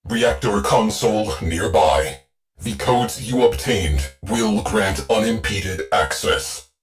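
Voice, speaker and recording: An actor playing a calm, terse male ship AI that gives clipped, deadpan tactical orders in a video game. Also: lowish voice, slow pace, over music. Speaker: ship's onboard AI.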